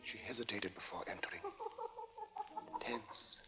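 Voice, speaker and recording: An actor playing the grave narrator of a suspense radio drama, delivering scripted narration in a measured, ominous manner. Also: male voice, fairly low pitch, fairly even intonation, natural voice. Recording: dull lo-fi sound with music.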